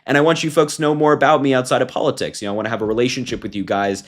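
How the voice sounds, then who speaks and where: A man's voice: medium pitch, steady tone, rapid-fire. A man in his twenties giving casual, combative political commentary to camera, talking informally with fillers and restarts. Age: 20s. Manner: mocking, combative.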